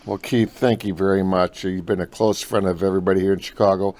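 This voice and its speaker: An American man with a low voice hosts a phone interview. He talks conversationally, in the friendly and familiar way of someone addressing a friend. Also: steady tone, fast, darkish tone. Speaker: interviewer, host.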